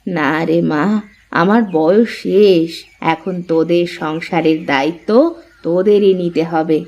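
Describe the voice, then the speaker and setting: A woman voicing a gentle, ageing mother-in-law in a Bengali cartoon story. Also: on the low side, fairly even intonation, a little slow. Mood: mild, resigned. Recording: dull lo-fi sound.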